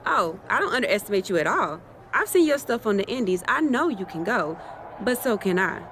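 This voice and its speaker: A woman's voice: medium pitch, very animated, fairly quick, fairly bright tone, slight background noise. A woman playing a confident, swaggering wrestling champion in a video game, brash and playful. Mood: cocky, playful. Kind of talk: naturalistic video game cutscene acting.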